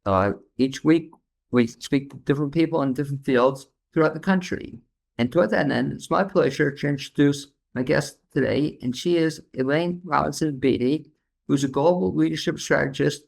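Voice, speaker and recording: A man's voice: on the high side, vocal fry. A man hosting a podcast interview, chatting in a loose, easygoing way with plenty of fillers. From New York City. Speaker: podcast host. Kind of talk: casual interview.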